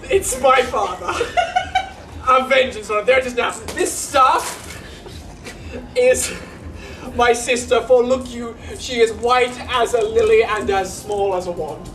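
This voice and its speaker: A man's voice: very high voice, unhurried, a bit bright. An actor on stage playing a comic, mock-tearful male servant who clowns with his shoes and wails in bursts, in classical verse. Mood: fussing. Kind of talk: live theatre performance of classical verse. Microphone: distant room mic. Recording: roomy, from far off, faint hiss.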